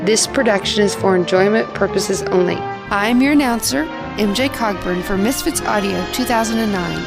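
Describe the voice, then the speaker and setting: A woman announcer reading the end credits of an audio drama in an even, measured way. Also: mid-range voice, creaky. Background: music.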